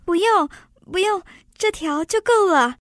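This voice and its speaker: A woman's voice: very high voice, expressive, measured pace, a bit bright, dry recording, clean studio sound, full character voice. A woman acting in a radio drama as a polite, hesitant, eager married woman asking a favour. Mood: grateful, admiring.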